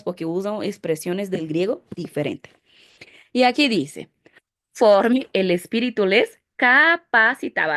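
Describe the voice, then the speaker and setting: A woman pastor giving a Bible teaching, explaining emphatically and answering her own rhetorical questions. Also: medium pitch.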